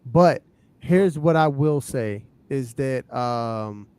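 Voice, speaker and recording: A man on a podcast panel talking casually about hip hop, explaining his points in a measured way and often checking that the listener follows. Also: mid-range voice, lively intonation, dark tone, clear, resonant tone.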